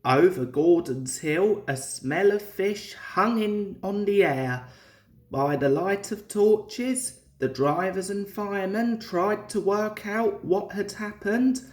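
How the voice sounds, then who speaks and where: A woman reading a children's story aloud in a measured, deliberate way, as a scripted storybook narration. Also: medium pitch. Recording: echoey room.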